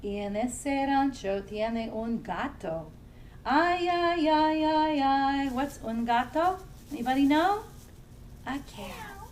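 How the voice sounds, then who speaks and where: A woman children's librarian leading a family storytime, singing nursery songs in English and simple Spanish and gently encouraging young children to join in. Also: sits high, slow, slight background noise. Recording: somewhat distant from the mic, faint music.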